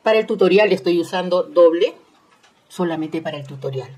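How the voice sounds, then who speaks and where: A woman's voice: lowish voice, brisk, warm tone. A woman hosting an informal step-by-step craft tutorial, instructing with repeated phrases and tag questions. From Peru. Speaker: host of a crochet tutorial channel.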